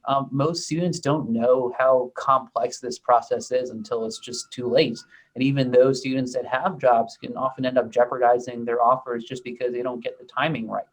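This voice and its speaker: A man's voice: mid-range voice, fairly even intonation, moderate pace, low-quality recording. A young man, a startup co-founder, answering panel questions thoughtfully with many ums and restarts.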